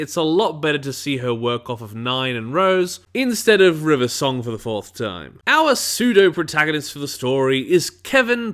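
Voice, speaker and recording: A man's voice: a little high, pushed and bright. A man delivering a scripted review commentary in an opinionated, analytical manner.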